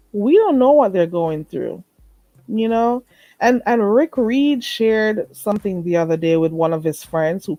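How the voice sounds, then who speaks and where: A woman's voice: fairly low pitch, dark tone, no room sound. Woman host, stuffy and a bit nasal, emphatic live-stream chatter, dry close mic. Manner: chatty, emphatic, repeats herself. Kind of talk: late night live chat with viewers.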